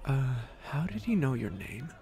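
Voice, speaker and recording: A man's voice: mid-range voice, sing-song, moderate pace, mellow, lightly acted. A man playing an earnest, troubled inventor on the run in a sci-fi audio drama, serious and hesitant. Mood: troubled, wary.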